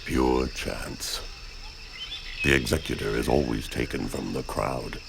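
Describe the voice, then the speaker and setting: Menacing gravel-deep ancient oracle. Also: male voice, noisy background, exaggerated voice. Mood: menacing, gloating. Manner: slow, pompous, theatrical. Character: menacing, haughty ancient oracle, servant of a dark lord. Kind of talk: video game villain acting.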